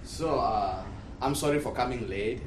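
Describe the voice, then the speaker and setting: Cameroonian priest teaching in an echoey, noisy room. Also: male voice, medium pitch, a little slow. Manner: repeats phrases for emphasis. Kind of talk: religious class lecture. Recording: distant mic, echoey room, loud noise.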